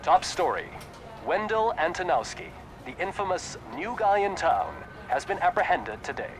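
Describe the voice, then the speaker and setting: A man playing a polished television news anchor in a video game, reading in a crisp broadcast manner. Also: high-pitched, sing-song, normal speed, over music. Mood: newsy, measured. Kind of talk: scripted newsreading in a video game.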